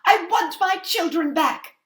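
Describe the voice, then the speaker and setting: Woman as desperate mother, storybook style, loud pleading calls, very animated pitch, roomy sound. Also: a little slow, full character voice. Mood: demanding. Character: desperate, determined mother demanding her children back.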